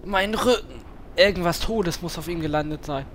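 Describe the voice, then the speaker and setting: A man's voice: a little high, moderate pace, a bit bright, lightly acted. An actor playing a sheepish, complaining male adventurer in a comedy audio play. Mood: groaning. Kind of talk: radio comedy acting. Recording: light background noise.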